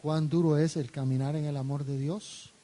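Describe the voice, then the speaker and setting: A man interpreting a sermon into Spanish, phrase by phrase, in a steady way. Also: on the high side, moderate pace. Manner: steady, follows the preacher phrase by phrase.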